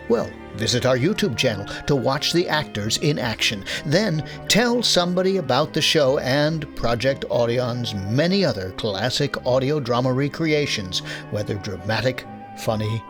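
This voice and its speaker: Animated male radio announcer over music. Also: mid-range voice. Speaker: announcer and host.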